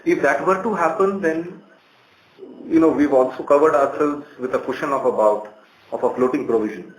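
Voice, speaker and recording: A man with an Indian accent speaking as a company executive, giving measured, halting explanatory answers on a conference call. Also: a little high. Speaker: bank executive answering analyst questions. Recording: roomy conference call, faint noise.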